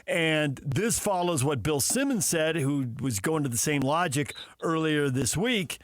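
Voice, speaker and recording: Male American sports radio host, slightly high voice, dry studio sound, conversational banter. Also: animated, unhurried, dry recording.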